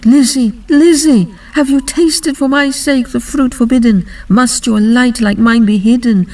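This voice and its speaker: Woman as young fairy-tale maiden, high pitch, earnest, emphatic verse, distant mic. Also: steady tone, measured pace, mellow. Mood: anguished. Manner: emphatic, dramatic verse delivery.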